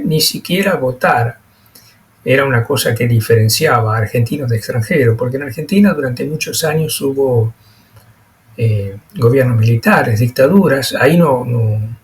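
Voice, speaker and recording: A man presenting an explanatory lecture over slides, speaking haltingly with frequent pauses and repeated words. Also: mid-range voice, a little hiss or hum.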